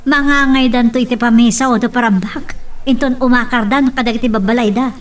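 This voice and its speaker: A woman's voice: a little high, steady tone, a little fast, close to an everyday voice. A woman acting a headstrong, indignant daughter in a radio drama. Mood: indignant, defiant. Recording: distant from the microphone.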